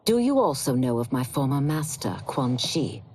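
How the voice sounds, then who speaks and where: A woman's voice: very deep voice, sing-song, normal speed, a little mellow, slightly rough, exaggerated voice. An actress playing a secretive woman who once served a sorcerer, in a game cutscene. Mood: hushed. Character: hushed female former sorcerer's servant.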